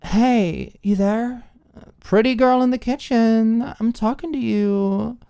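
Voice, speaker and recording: A woman voicing a drunk, bratty young college woman in an audiobook, slurring her words with giggly, whiny, drawn-out teasing. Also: on the high side, dark tone, smooth, voice pushed up. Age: young adult. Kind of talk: audiobook character acting.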